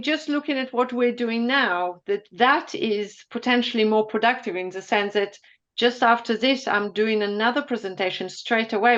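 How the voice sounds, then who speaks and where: A woman's voice: on the high side, fairly bright tone, dull audio. A woman economist thoughtfully answering questions in an online presentation.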